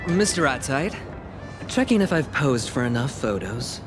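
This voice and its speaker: A man's voice: medium pitch, big pitch swings, normal speed, lightly acted. A man voicing a breezy, wry rich director. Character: rich, flashy male director, cool and dry. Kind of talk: video game cutscene voice acting. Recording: music playing underneath.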